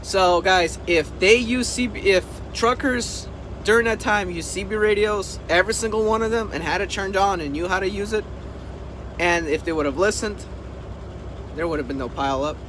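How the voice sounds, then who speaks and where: A man's voice: high voice, moderate pace. A man talking casually to the camera as a trucking vlogger, urging his points emphatically and repeating them. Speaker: trucker and video host. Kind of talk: casual vlog talk to camera. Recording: noisy vehicle and outdoor background.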